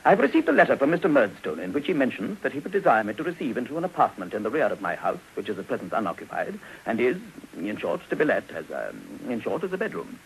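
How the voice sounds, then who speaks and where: An actor playing a florid, middle-aged English debtor gentleman in a 1950s radio drama, orotund and halting before plain restatements. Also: mid-range voice, big pitch swings, gravelly, a little hiss or hum, rough recording. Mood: pompous, genial.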